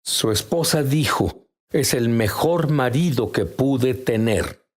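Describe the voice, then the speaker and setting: Slow, emphatic older male preacher. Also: mid-range voice, lively intonation. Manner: emphatic, rising to sudden loud peaks. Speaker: television preacher. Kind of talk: preaching monologue.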